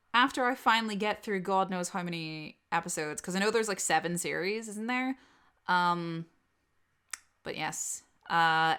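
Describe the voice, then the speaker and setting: A woman's voice: lowish voice, sharp tone, clean tone. A young woman with a Northern Irish accent chatting casually and unscripted as she answers questions, candid and blunt in an informal way. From Northern Ireland. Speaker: audio roleplay creator answering subscriber questions.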